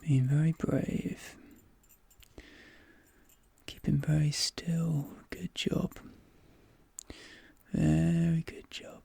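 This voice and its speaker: An ASMR performer speaks as a reassuring dentist in a soft, very breathy whisper. The delivery is slow and soothing, with short repeated phrases. A strong crackle runs through the voice. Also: medium pitch, level tone, no room sound. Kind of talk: ASMR role play, soft whispering with personal attention.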